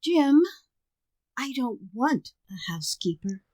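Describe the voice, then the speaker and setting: A woman's voice: fairly high pitch, sing-song, takes it slowly, dry recording. A woman playing a patient, dryly sarcastic housewife and mother in her thirties in an old-time radio sitcom. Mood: exasperated. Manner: dry, gently sarcastic.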